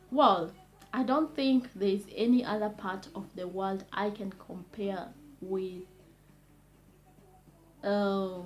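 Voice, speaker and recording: A young woman from Kenya hosting her own vlog, talking casually and enthusiastically, heaping on superlatives with many pauses. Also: medium pitch, fairly bright tone, rough recording. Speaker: vlogger.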